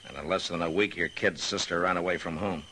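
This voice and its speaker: An American actor playing a stern, steady Old West marshal in a radio western, terse, authoritative and unhurried. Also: male voice, deep voice. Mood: pressing, accusing. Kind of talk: mid-century American western radio drama acting. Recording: noisy vintage radio recording.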